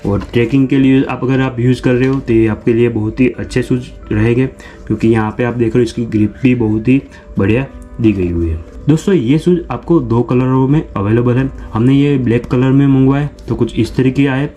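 A man's voice: medium pitch, fairly quick, mellow, soft background music. Young man hosting a gear unboxing. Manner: repetitive, explanatory, addresses viewers as friends. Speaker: unboxing channel host. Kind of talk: product unboxing narration. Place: small room indoors.

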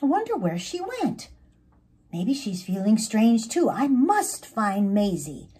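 Woman as an eager, high-voiced young male caterpillar. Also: husky, full character voice. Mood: searching, calling. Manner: expressive, drawn-out calling and musing.

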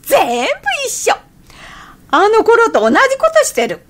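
An actress playing an irritable middle-aged woman hiker who narrates her thoughts in expressive radio drama style. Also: very high voice, measured pace, exaggerated voice. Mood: animated. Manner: expressive, theatrical inner monologue.

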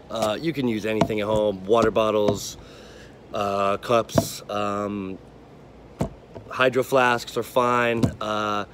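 Young American coach outdoors, noisy background. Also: male voice, lowish voice. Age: 20s. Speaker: sports coach. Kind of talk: casual instructional video for kids. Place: outdoor backyard. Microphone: phone, at arm's length, then farther away. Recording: outdoor noise, phone video.

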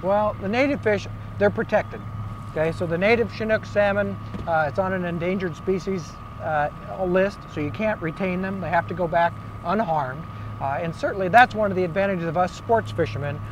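High-voiced man calmly coaching on a boat. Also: moderate pace. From Oregon, United States. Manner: calm, patient instructions. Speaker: fishing guide. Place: open boat on a river, outdoors. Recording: outdoor noise from boat and water.

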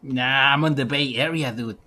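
A man's voice: mid-range voice, moderate pace, bright, forceful tone. A man from Mexico, a streamer chatting casually and joking while he plays a game. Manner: joking, laughs often. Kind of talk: casual gaming chat.

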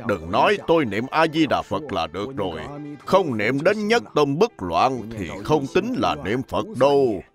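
Casting: humble old monk for a cartoon , played by a man, lively intonation, patient plain-spoken teaching, music underneath. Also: mid-range voice, normal speed, natural voice. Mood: earnest teaching. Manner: didactic, plain, repeats instructions simply. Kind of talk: dubbed animated religious biography.

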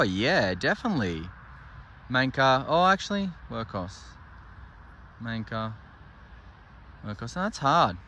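A man's voice: mid-range voice, pushed and bright, slight background noise. A man, in his thirties, casually reviewing flashlights and thinking aloud with enthusiasm. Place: workshop desk indoors, then outdoors at night.

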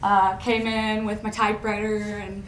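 Young female stand-up from Indiana, deadpan and self-mocking, taped from the back of an echoey hall. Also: mid-range voice, moderate pace, over a noisy crowd. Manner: deadpan, self-mocking, laughs at her own jokes. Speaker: stand-up comedian. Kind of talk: stand-up comedy set. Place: college lecture hall stage. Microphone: far camera in the room. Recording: echoey hall, audible room noise.

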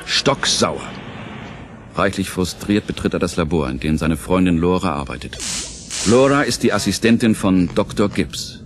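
A man's voice: sits low, lively intonation, normal speed, faint music behind. A man narrating a scripted audio play in a dramatic storytelling style.

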